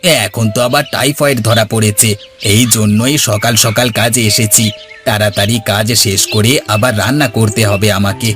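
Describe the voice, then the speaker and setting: A man's voice: fairly low pitch, fairly even intonation, normal speed, a bit bright, natural voice. A man voicing a hardworking, friendly village farmer who speaks colloquially in a cartoon. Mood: burdened. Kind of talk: dubbed cartoon dialogue. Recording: music under the voice.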